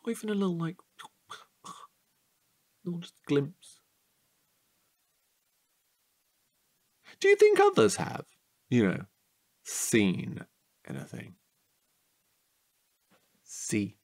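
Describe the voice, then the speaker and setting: A man acting a chatty, curious night-watch guard in a naturalistic audio drama, husky and halting in a playful way. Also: a little high, wildly swooping pitch, dry recording, studio quality, full character voice. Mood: restless, wondering.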